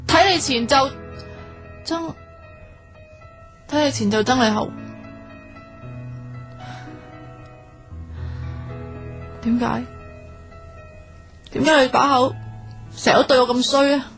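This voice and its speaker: High-voiced, feisty Hong Kong woman. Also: a little slow, long pauses. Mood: hurt, accusing. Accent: Hong Kong Cantonese. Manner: blunt, teasing, expressive slangy scolding. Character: feisty, sharp-tongued, bossy young woman in love. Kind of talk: radio drama acting. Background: background music.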